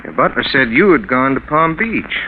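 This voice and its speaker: An actor playing a brusque, blunt police inspector in 1940s radio drama style. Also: male voice, mid-range voice, big pitch swings, normal speed, close to an everyday voice. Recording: tinny, noisy 1940s radio broadcast.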